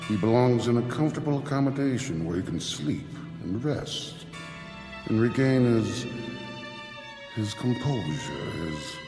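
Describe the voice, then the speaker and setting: An actor in a radio drama playing a suave, sinister gentleman who speaks with polished, condescending care. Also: lowish voice. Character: suave, sinister gentleman villain feigning concern. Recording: reverberant hall, loud music underneath.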